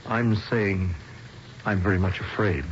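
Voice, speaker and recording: An actor playing a skeptical, exasperated police lieutenant in a radio mystery, stammering and sarcastic. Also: male voice, sits low, steady tone, measured pace. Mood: grave. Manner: flustered, hesitant stammering, sarcastic. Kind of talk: old-time radio mystery drama acting. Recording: dull, noisy old radio broadcast recording.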